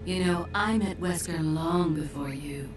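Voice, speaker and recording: A woman playing a cool, sly secret agent in a video game, unhurried and aloof. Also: lowish voice, lightly acted. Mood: cool, guarded. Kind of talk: video game cutscene acting. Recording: roomy echo, music behind.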